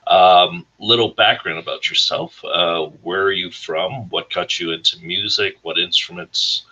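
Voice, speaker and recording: A man with a husky voice hosting a casual interview show over an online call. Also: sits low, fairly even intonation, measured pace, pushed and bright, dull audio. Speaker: host of a weekly music career show.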